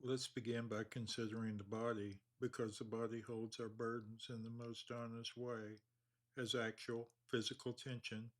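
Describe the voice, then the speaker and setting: A man leading a guided meditation, speaking slowly and calmly with long pauses. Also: mid-range voice. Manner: slow, calm, measured delivery with long pauses.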